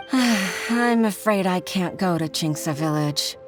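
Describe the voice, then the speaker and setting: A woman's voice: lowish voice, animated, slow pace, music in the background. A woman playing a deferential, earnest young assistant clerk in a video game, speaking politely. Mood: apologetic. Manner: polite, apologetic.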